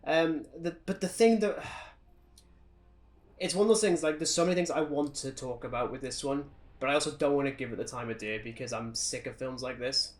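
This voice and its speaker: A man's voice: mid-range voice, brisk. A British man co-hosting a podcast, chatting casually about films in an opinionated, sardonic, ranting way. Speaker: podcast co-host. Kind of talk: casual film review chat.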